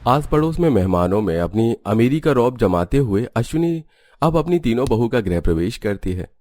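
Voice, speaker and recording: A man's voice: mid-range voice, very animated, moderate pace, a little mellow, dry recording, clean studio sound. A man reading scripted narration for a cartoon story.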